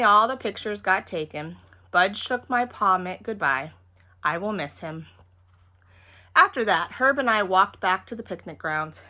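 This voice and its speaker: Woman, bright forceful voice, expressive read-aloud of a children's chapter book, animated storyteller delivery. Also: medium pitch. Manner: animated, acts out each character.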